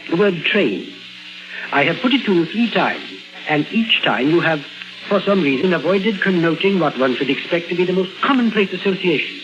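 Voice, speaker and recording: On a muffled old radio recording with music underneath, a male psychiatrist with brisk authority. His intonation moves widely while his manner stays formal, clinical and probing, in 1950s radio drama style. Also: sits high, lively intonation. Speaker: actor. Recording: dull vintage radio recording with music behind.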